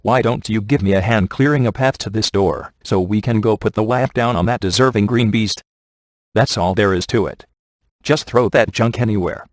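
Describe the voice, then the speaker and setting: A male actor plays a sarcastic, laid-back ghost hunter from a video game. His voice sits low and is recorded close and dry. He talks in glib wisecracks with a sardonic edge. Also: dry recording, close to an everyday voice. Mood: wry instruction. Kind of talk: scripted video game character dialogue.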